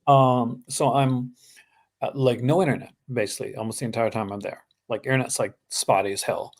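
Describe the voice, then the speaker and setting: Gravelly Canadian man, casual rambling chat. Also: mid-range voice, steady tone, a little fast. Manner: rambling, many fillers and restarts. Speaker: host. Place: home, live stream. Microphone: streaming call.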